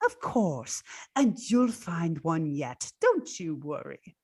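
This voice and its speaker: A woman's voice: sits low, very animated, slow pace, a little mellow, slightly rough, full character voice. A woman playing a scheming, cosy, outspoken household servant in an old-time radio drama, coaxing and conspiratorial.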